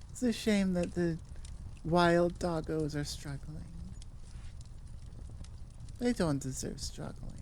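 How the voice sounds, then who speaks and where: A woman with a North American accent gives a casual, rambling outro as a host. Her voice is slightly low and very unhurried, with a playful, goofy manner and faint noise behind it. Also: a little mellow. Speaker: audio roleplay creator, host.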